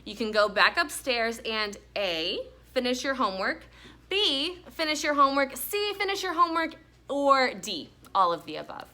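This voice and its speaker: A teasing but firm storybook mom, played by a woman reading aloud. Her high, bright voice swings playfully as she lays down orders. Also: big pitch swings, moderate pace, exaggerated voice. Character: teasing, firm mother giving homework orders. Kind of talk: children's storybook read aloud.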